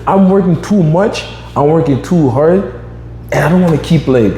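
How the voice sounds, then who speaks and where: A man's voice: on the high side, moderate pace, warm tone, echoey room, big-room sound, a little hiss or hum. A man in his twenties hosting a podcast, chatting casually and rambling with lots of fillers. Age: 20s. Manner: rambling, many fillers like "you know what I mean". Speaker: podcast host.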